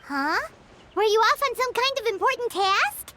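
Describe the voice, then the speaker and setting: A woman voicing a tiny, bubbly, curious floating companion in a video game cutscene, with a squeaky childlike voice. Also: animated, moderate pace. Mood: cheerful. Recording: loud music behind the voice.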